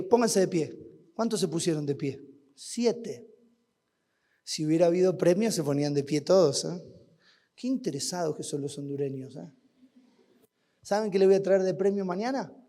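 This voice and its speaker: A man with an Argentine accent preaching a sermon at a youth camp, asking rhetorical questions and swinging between loud and hushed. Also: sits high, animated, moderate pace, long pauses. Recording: long hall reverb.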